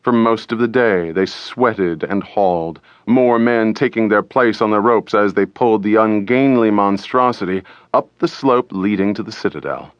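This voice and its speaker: Low-voiced man narrating an audiobook dramatically. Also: no echo, dull audio. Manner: deliberate, theatrical.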